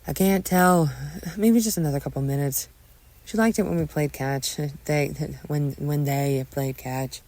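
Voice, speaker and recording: A woman's voice: low-pitched, a little slow, a little mellow. A woman voicing a dutiful young man, a grown son, hesitant and placating in a dramatic script reading. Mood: uncertain.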